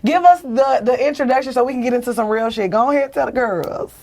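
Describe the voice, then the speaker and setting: High-voiced playful woman host. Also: quick pace, darkish tone. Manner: playful, teasing. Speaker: podcast host. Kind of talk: casual podcast banter.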